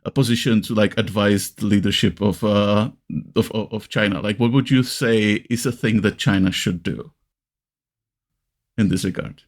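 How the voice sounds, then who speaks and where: Czech guy podcast host, lowish creaky voice, rambles and restarts sentences a lot. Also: fairly even intonation. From Czech Republic. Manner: halting, rambling, frequent self-restarts. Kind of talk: casual podcast discussion.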